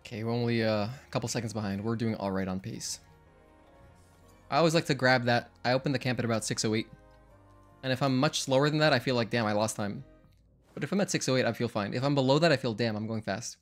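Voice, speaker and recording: A young man chats through his live-streamed speedrun in a loose, rambling way, often correcting himself mid-sentence. His voice sits at an ordinary mid pitch, recorded on a home desk mic. Soft game music plays faintly underneath. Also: fast talker. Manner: chatty, rambling, self-correcting. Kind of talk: casual live-stream commentary.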